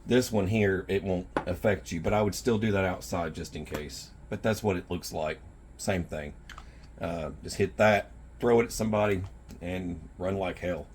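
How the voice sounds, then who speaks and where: A man hosting a gear video, talking to viewers in an informal, rambling, folksy way with a rough, husky voice. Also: low-pitched, fairly even intonation, moderate pace, a little mellow, slight background noise. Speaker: survival gear video host. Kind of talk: casual product showcase talk to viewers. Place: indoors in a house.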